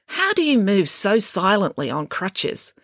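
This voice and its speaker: A woman's voice: medium pitch, huge pitch swings, a little slow, no echo, clean studio sound, pitched above the performer's own voice, heavily acted. A woman voicing a baffled, easily alarmed boy who questions and protests in disbelief. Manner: incredulous, protesting.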